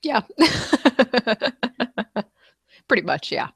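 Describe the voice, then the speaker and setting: A woman's voice: a little high, sing-song, drawn-out words, creaky, slightly rough, no echo, clean studio sound. A woman co-hosting a casual podcast, chatty and agreeable, laughing easily. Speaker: podcast co-host. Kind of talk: casual chat.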